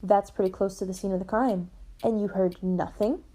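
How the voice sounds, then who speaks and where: A woman's voice: mid-range voice, steady tone, moderate pace, mellow, close to an everyday voice. A woman playing a level-headed, matter-of-fact investigator who keeps firm order in a puppet-show murder mystery. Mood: inquiring.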